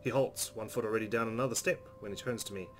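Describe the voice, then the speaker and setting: A man's voice: fairly low pitch, fairly even intonation, fast talker, a little hiss or hum. A man hosting a let's play, reading visual novel narration aloud expressively and adding his own commentary. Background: soft game music.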